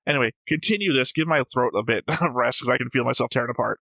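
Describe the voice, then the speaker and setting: Man, bright edgy tone, casual discussion, argumentative, rambling, dry recording. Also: medium pitch, fast, vocal fry, no echo. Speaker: reaction show co-host.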